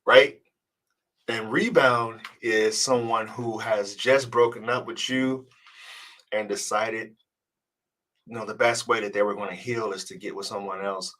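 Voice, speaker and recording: A man with a somewhat low voice gives relationship advice in a loose, conversational live-stream manner. He sounds congested from a cold and often stumbles and repeats words as he works toward his point. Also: steady tone, measured pace, sharp tone, rough recording. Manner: casual, earnest, repeats words when restarting. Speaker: dating coach. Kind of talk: live-stream advice chat reading comments.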